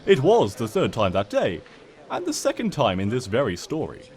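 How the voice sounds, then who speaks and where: A man's voice: mid-range voice, huge pitch swings, normal speed, a little mellow. A man narrating a comic story in a theatrical, smug and wry manner.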